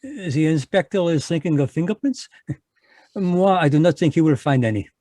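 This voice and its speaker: An actor playing a fussy, courtly Belgian detective, in a live radio drama over a video call. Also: male voice, on the high side, animated, moderate pace, mellow, no room sound, studio quality. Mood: smug, courtly. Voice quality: precise, courtly French-inflected delivery. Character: fussy male Belgian detective, polite and sly.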